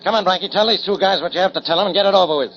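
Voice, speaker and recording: An actor playing a gruff, impatient police inspector in a 1940s radio drama. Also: male voice, high voice, very fast, a crowd in the background, natural voice. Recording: muffled 1940s radio recording.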